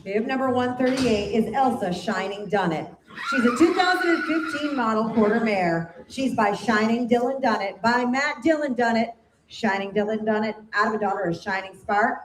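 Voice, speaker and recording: A woman announcing horses at a sale, reading pedigrees and details in a brisk, matter-of-fact way. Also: fairly high pitch, animated. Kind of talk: reading lot descriptions. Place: indoor sale barn. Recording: echoey barn with crowd noise.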